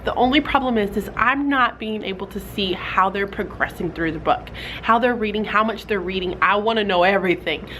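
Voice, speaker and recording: A woman's voice: medium pitch, brisk, pushed and bright, low-quality recording. Young woman teacher chatting in her classroom, bit stuffy, lots of likes and ums, faint hiss behind. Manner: chatty, filler-heavy with "like" and "um". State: slightly stuffy from a cold. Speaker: fourth grade teacher. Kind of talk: casual vlog talk.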